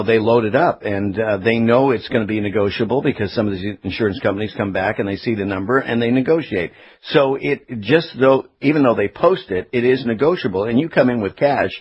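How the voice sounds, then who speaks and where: A middle-aged man hosting live talk radio, speaking in a halting, opinionated, conversational way. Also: on the low side, breathy edge. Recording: dull, lo-fi radio broadcast audio.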